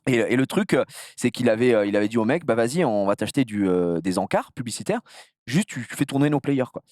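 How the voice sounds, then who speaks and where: A man from the south of France chatting casually as an interview guest, telling anecdotes in an animated, slangy way with some crude language. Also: medium pitch, quick pace, no room sound, studio quality. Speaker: streamer being interviewed.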